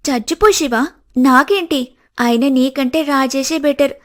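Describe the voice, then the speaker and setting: An actress plays a young woman in a cartoon story, coy and materialistic, with a cutting tongue. Her voice is clearly high. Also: measured pace. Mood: scornful. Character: coy, teasing, money-minded, scornful young woman. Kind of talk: scripted cartoon story dialogue.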